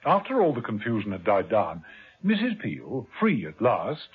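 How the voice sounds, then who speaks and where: A man giving scripted narration for a 1970s radio drama, brisk and measured. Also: on the low side, wildly swooping pitch, husky. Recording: muffled old radio broadcast with music.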